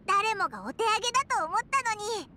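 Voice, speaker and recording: A woman voicing a tiny, childlike floating fairy sidekick in an anime game, blunt, informal and excitable. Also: quick pace, a little hiss or hum, exaggerated voice. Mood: admiring. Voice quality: squeaky, childlike. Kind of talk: Japanese anime game voice acting. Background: music.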